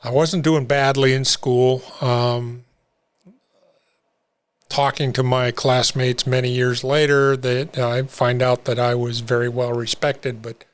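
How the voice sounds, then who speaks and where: An older man telling his own recovery story in an interview, talking haltingly with many fillers and restarts. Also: medium pitch, little pitch movement, clean tone. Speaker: interview guest. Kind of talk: reflective personal story.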